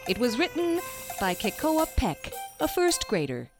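Woman doing story machine announcer, slow and sort of high, jingly music behind. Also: wildly swooping pitch. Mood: announcing. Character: story machine slowly announcing children's tales. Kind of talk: children's audio theater announcing.

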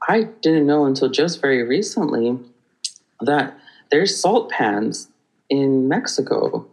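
A man from Hawaii, a podcast guest telling stories in an animated, rambling way with many restarts. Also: mid-range voice, low-quality recording. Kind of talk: conversational storytelling.

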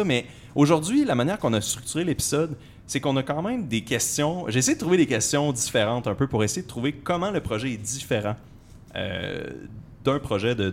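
Young man, quick joking podcast host, faint rumble behind. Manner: fast, playful, joking. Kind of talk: casual interview chat.

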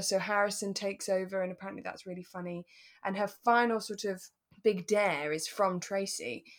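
A woman with a mid-range voice chats as co-host of a podcast about a radio drama. Her delivery is informal and playful, with plenty of amusement as she retells the story. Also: medium pitch. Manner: playful, amused, chuckling often. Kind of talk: casual chat recapping a radio drama.

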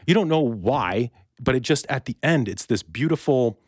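A man hosting a casual interview podcast, speaking haltingly and rephrasing his thoughts and questions as he goes. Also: mid-range voice, animated, slightly rough, no room sound, clean studio sound.

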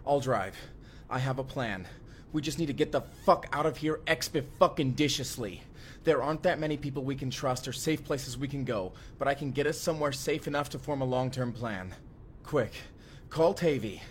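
A man's voice: medium pitch, normal speed, a little hiss or hum, lightly acted. An actor playing a desperate, foul-mouthed young man on the run in an audio drama. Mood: urgent, exasperated. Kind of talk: first-person immersive audio drama acting.

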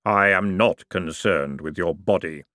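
Man doing Death, slow and solemn. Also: low voice, bright, forceful tone, no echo, clean studio sound. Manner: slow, measured, grave delivery. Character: Death, ageless male spirit, solemn, formal, patient.

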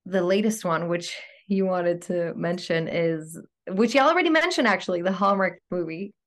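A woman from Greece, an actor, chatting in a casual podcast interview, upbeat and quick to laugh. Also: lowish voice. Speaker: guest actor.

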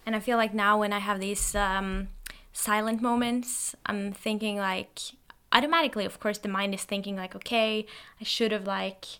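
A young Norwegian woman, tired, talking in a hesitant, reflective way, with many pauses and fillers. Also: medium pitch, steady tone, a bit bright, smooth. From Norway. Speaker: podcast host. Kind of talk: casual solo monologue. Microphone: testing a new microphone.

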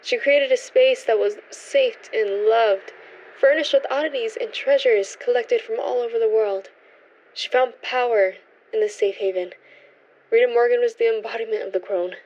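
A mystical female coven member, acted by a woman tells lore in a radio play. Her voice sits a little high, and her manner is reverent and storylike. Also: fairly even intonation, moderate pace, a little mellow, lo-fi sound, lightly acted. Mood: reverent storytelling. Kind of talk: radio drama acting.

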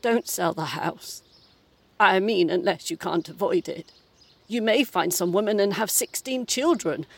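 A woman acts as a formidable old mother, dead but still bossy. Her voice is gravelly and husky, with a dry, fussy, genteel manner made for audio drama. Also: medium pitch, a little slow. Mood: softened, bossy. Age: 70s. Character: domineering, sardonic old mother's ghost, 78. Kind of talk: audio play acting.

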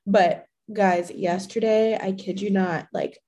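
A young woman hosts her fitness podcast solo, her voice a little hoarse after a recent illness. She talks in a loose, chatty way and fills her sentences with "like" and "you know." Her tone is mellow and warm, and her pitch sits at a middle height. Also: fairly even intonation, takes it slowly, slight background noise. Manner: chatty, many fillers, laughs often. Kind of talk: casual solo podcast talk.

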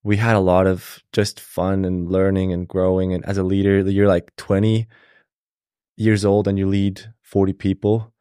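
A young man from Switzerland, a podcast guest, telling his story in a relaxed, halting way with many fillers. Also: deep voice, clear, resonant tone, no room sound, studio quality. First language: Swiss German. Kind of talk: casual interview storytelling.

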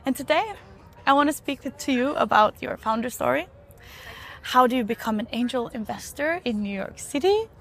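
A woman's voice: sits high, expressive, normal speed, gravelly, fairly bright tone. A woman hosting an interview podcast, introducing her guest and asking questions.

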